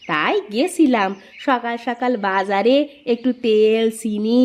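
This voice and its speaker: A woman voicing a proud, quick-witted village aunt in a cartoon story, with an animated, sing-song manner. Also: on the high side. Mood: friendly. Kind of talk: Bengali cartoon story dialogue.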